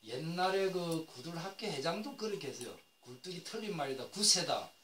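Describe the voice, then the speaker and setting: A man's voice: a little high, animated, brisk, a crowd in the background. Older man lecturing in an echoey room. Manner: rambling, self-correcting explanation with many fillers. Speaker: lecturer on traditional floor heating. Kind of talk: classroom lecture. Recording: echoey room, recorded from a distance with faint noise.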